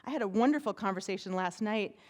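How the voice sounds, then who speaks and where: A woman with a big, carrying voice addresses a conference audience from the stage. Her delivery is energetic and conversational, with frequent "right?" checks to keep listeners with her. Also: mid-range voice, quick pace. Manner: energetic, chatty, uses many "right?" tags. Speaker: conference speaker, PR firm president. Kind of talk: conference talk.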